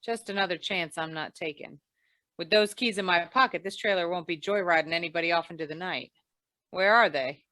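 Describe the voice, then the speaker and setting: A woman's voice: on the low side, a little fast, bright, forceful tone, no echo. A woman playing a hard-boiled, wisecracking insurance investigator in an old-time radio noir, with a dry, clipped delivery. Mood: commanding, wary.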